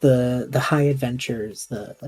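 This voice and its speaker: A man hosting a casual podcast interview, thinking aloud in a halting way and rephrasing his questions as he goes. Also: mid-range voice, darkish tone, breathy edge, faint music behind. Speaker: podcast host interviewing a guest. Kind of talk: casual podcast chat about tabletop roleplaying shows.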